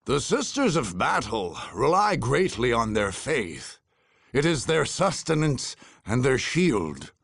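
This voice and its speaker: Gravelly, ominous slow-speaking war chronicler. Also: male voice, mid-range voice, very animated, fairly bright tone, no echo, clean studio sound, heavily acted. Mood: grave, foreboding. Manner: ominous, theatrical, portentous pauses. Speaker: narrator. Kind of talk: dramatic scripted game narration.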